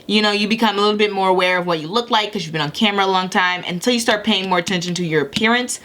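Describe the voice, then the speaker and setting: A woman's voice: medium pitch, a bit bright. A woman giving a casual tarot and astrology reading to her viewers, talking in a chatty, rambling way with many self-corrections and frequent "okay?" check-ins.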